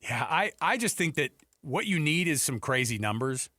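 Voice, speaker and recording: A man's voice: on the high side, big pitch swings, moderate pace, pushed and bright, dry recording, studio quality. Casual American male sports radio host. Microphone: close studio radio microphone.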